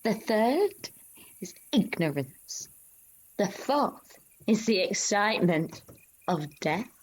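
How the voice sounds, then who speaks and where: A woman playing a female disciple who solemnly recounts a vision in a halting, reverent dramatic monologue with long pauses. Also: lowish voice, slight background noise, poor audio. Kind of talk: dramatic monologue of an ancient religious text.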